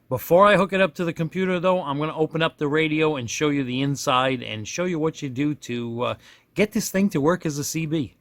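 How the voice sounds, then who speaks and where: A man with a low-pitched voice walks through a hands-on demo in an unscripted, folksy way. He rambles a bit and pokes fun at his own slips. The sound is dry and close, with little room to it. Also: fairly bright tone, dry recording. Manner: folksy, self-deprecating, rambling with mid-thought corrections. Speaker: product reviewer demonstrating a radio. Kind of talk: casual hands-on demonstration, unscripted.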